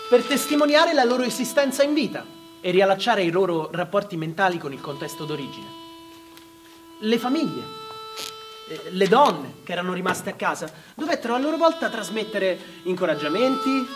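A woman narrator reading a scripted historical documentary text in a measured, literary manner. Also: medium pitch. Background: violin music.

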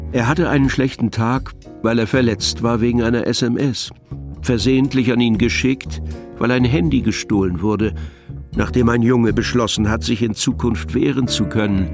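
A man narrating a scripted audio drama in a measured, literary storytelling manner. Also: medium pitch.

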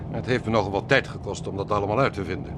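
An actor playing a genial, worldly older man, chuckling and avuncular, in a radio drama. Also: lightly acted. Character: genial, wealthy older widowed expatriate man, worldly and talkative. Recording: noisy outdoor setting with vehicle rumble.

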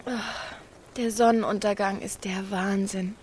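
A woman's voice: mid-range voice, steady tone, measured pace, a little mellow, slight background noise, close to an everyday voice. An actress playing a troubled, needy teenage girl in a German radio drama, naive and intense. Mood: dreamy.

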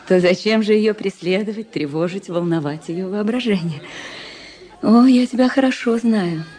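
A woman's voice: medium pitch, moderate pace, dark tone, slightly rough, natural voice. An actress playing a jealous, lovesick society woman in a Russian radio drama, intimate and pleading.

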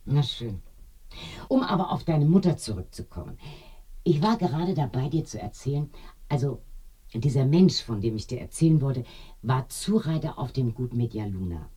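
Chatty old village woman in a radio drama, husky n mellow, very lively pitch, keeps wandering off in her stories. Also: normal speed, full character voice. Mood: chatty, confiding. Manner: garrulous, digressing storyteller. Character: talkative older village woman, reminiscing and confiding. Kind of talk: German radio play acting.

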